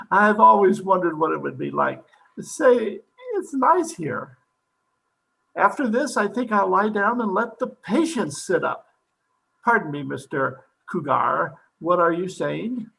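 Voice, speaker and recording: High, creaky psychiatrist. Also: male voice, animated, takes it slowly, sharp tone, exaggerated voice, low-quality recording. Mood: musing. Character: eccentric, absent-minded male psychiatrist with comic pomp. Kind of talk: old-time radio comedy acting.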